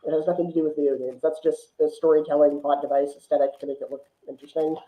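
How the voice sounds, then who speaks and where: A man's voice: on the high side, level tone, fast talker, mellow. A man chatting casually about films as a podcast guest, prone to chuckling. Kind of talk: casual film discussion. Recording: dull, echoey room sound.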